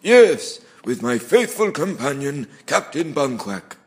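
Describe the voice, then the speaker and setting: A man's voice: medium pitch, very animated, takes it slowly. A man playing an eccentric, grandiose old wizard in a comic fantasy radio play, with theatrical, portentous delivery. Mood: reminiscing.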